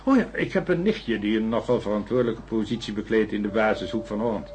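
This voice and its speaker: An actor playing a sceptical male scientist with a dry, measured way of talking in a Dutch radio drama. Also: fairly low pitch, a little mellow, a little hiss or hum, close to an everyday voice. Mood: keen, intrigued. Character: Walter, sceptical male scientist warming to a theory.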